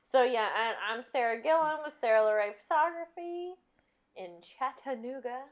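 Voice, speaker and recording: A woman's voice: high voice, normal speed, lo-fi sound. A woman with a strong Southern US accent co-hosting a podcast, talking in an enthusiastic, chatty way and laughing often. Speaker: podcast co-host. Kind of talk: casual chat.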